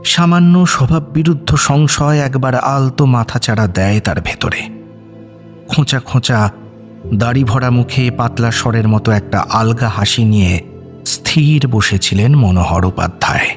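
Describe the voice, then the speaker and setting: A man tells a suspense story as a radio narrator. His mid-pitched voice moves with lively rises and falls, and music plays faintly underneath. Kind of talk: dramatic scripted narration.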